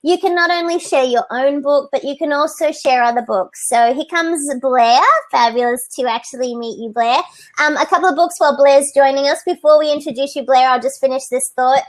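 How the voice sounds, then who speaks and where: Upbeat woman hosting a video call, voice pitched really high, chatty and encouraging. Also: brisk. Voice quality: high, light voice. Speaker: host. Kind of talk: casual live video chat. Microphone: computer mic on video call.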